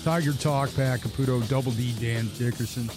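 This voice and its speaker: A man's voice: medium pitch, steady tone, brisk, music in the background. A man hosting a sports talk radio show, rambling and emphatic, often breaking off and restarting his sentences. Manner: rambling, self-interrupting, emphatic.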